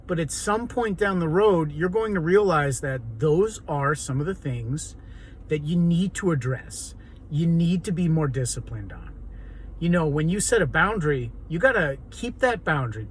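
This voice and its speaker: A man talks in a raw, hoarse rasp, his voice sitting a little high. He rambles earnestly, full of ums and restarts, over faint background noise. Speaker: vlogger. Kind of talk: unscripted personal monologue to camera.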